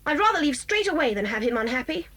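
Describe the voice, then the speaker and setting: A well-spoken English child in a children's audio adventure. The voice is high and swings widely in pitch, with an earnest manner. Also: female voice, very animated, fast talker, bright, forceful tone, full character voice. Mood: worried. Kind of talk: children's audio drama acting.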